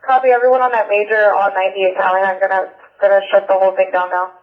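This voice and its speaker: A man's voice: high voice, little pitch movement, quick pace. A man working as a police dispatcher, speaking tersely over scanner radio. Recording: tinny, narrow-band police scanner radio.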